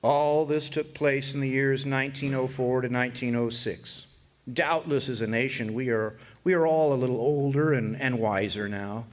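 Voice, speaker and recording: An actor playing a weary older drifter and war veteran, narrating a radio drama in a folksy, hesitant, reflective way. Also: male voice, medium pitch, moderate pace, close to an everyday voice. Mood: reflective, matter-of-fact.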